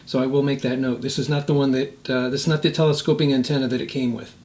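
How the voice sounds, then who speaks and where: A man giving a casual hands-on product demonstration, thinking aloud in a halting way. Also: medium pitch, flat delivery, darkish tone. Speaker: product reviewer.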